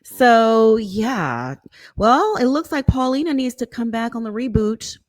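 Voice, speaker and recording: A woman co-hosting a casual gossip chat, gushing and quick to react. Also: fairly high pitch, animated.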